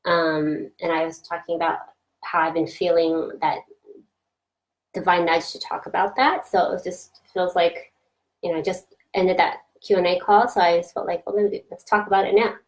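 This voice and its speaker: Soft-spoken woman coach live-streaming. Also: on the low side, flat delivery, normal speed, rough recording. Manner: gentle, reflective, many fillers and restarts. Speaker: coach for introverted business owners. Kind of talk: casual Facebook Live talk.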